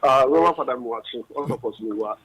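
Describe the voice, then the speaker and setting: A man's voice: medium pitch, fast talker, fairly bright tone, a little hiss or hum, poor audio. A man with a Ghanaian accent calling in to a radio show with a long, rambling explanation. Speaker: caller. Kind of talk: radio phone-in call.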